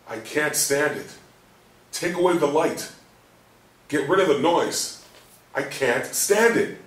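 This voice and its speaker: An actor in a play reading voicing a frightened, confused paralysed man's inner thoughts in halting, anguished bursts. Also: a little high, lively intonation, a bit bright. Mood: distressed. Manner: halting, anguished self-talk in short bursts. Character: frightened, confused man trapped in his paralysed body, sweary. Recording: roomy, distant mic, faint noise.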